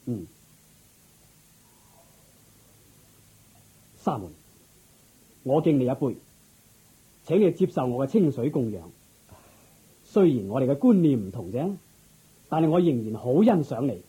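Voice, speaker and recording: A man playing a dignified, courteous and curious king in a radio drama, with stately, expressive theatrical delivery. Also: mid-range voice, moderate pace, pauses often, breathy edge, rough recording. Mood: gracious, amused. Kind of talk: Cantonese Buddhist radio drama acting.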